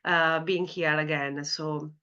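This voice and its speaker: A woman's voice: low-pitched, fairly even intonation, slow pace, pushed and bright, clear, resonant tone, lo-fi sound. A woman with an Italian accent, a senior lecturer in clinical psychology, presenting a conference talk with many "you know, like" fillers. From Italy. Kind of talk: academic conference talk with slides.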